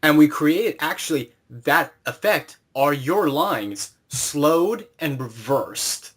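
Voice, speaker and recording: A man's voice: a little high, lively intonation, takes it slowly, a bit bright, husky. A man conducting a casual interview, explaining things informally as the interviewer. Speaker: interviewer and series creator.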